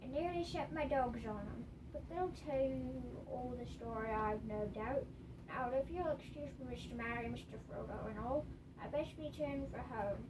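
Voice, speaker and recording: A woman's voice: sits high, normal speed, lightly acted. A woman playing a plain-spoken, protective male hobbit farmer with rustic phrasing in an amateur radio play. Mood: friendly, folksy. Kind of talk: amateur radio theater acting. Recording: distant mic, clearly noisy home recording.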